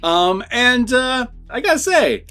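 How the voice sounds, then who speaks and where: A man's voice: very high-pitched, big pitch swings, slow, bright, forceful tone, clean tone, soft background music. A man co-hosting a film review in casual, conversational talk. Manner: informal, conversational. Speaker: movie review co-host.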